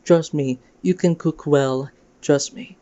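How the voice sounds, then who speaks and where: A woman's voice: low voice, slow pace, warm tone. A woman giving casual, playful and enthusiastic fan commentary on a video game. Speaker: video creator hosting a game discussion. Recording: music behind, slightly dull sound.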